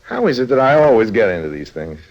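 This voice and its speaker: A man's voice: fairly low pitch, sing-song, fast, a little mellow, faint music behind. A man acting a suave, roguish con artist in an old-time radio drama, wry and nonchalant. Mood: confiding narration. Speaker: lead actor and narrator. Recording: dull old radio recording.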